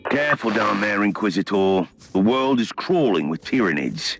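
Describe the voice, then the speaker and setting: Low, knowing game Inquisitor. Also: male voice, big pitch swings, slight background noise. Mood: dryly assured. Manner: measured, dryly wry, articulate. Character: composed, knowing male Inquisitor, dryly persuasive. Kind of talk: dramatic video game dialogue. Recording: music behind the voice.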